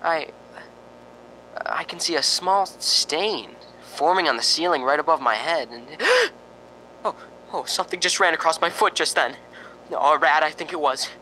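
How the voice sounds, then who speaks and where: A man's voice: on the high side, lively intonation, measured pace, pushed and bright, slight background noise, low-quality recording. A man playing a nervous, lonely radio reporter in a spooky radio drama, stammering and rambling with sighing ohs. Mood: uneasy, rambling. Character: jittery, lonely radio reporter broadcasting from a haunted house.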